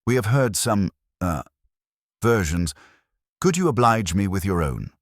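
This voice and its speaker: A man's voice: fairly low pitch, big pitch swings, unhurried, no room sound, studio quality, voice pushed up. A man voicing a mild, unflappable police inspector, polite and understated, in a storybook mystery.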